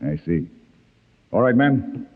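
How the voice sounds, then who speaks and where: An actor playing a menacing military lieutenant in a 1950s radio drama, clipped and sardonic, used to barking orders. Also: male voice, mid-range voice, big pitch swings, takes it slowly. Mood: sardonic, commanding. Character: sneering, menacing male military patrol lieutenant. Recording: echoing, narrow-band old radio recording.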